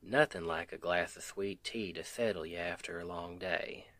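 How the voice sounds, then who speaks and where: A man's voice: sits low, no room sound. A man playing a doting country husband with a Southern accent in an intimate ASMR roleplay, folksy and affectionate. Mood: warm, contented. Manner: affectionate, unhurried, folksy, gently teasing.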